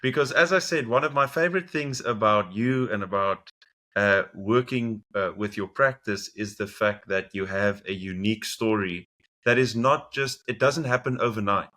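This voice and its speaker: A man's voice: lowish voice, normal speed, sharp tone. A man with a South African accent hosting an interview and encouraging his guest, with small restarts. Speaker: podcast host.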